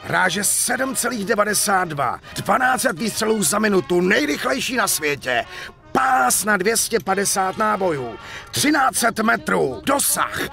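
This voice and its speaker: Hearty middle-aged arms dealer, very creaky, highish voice, chatty, salty showman's patter, game cutscene. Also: sing-song, bright, forceful tone, faint music behind, exaggerated voice. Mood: boastful, admiring. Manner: talkative, foul-mouthed, showy sales patter. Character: chatty, hearty one-eyed war veteran gun dealer. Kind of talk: dubbed video game cutscene acting.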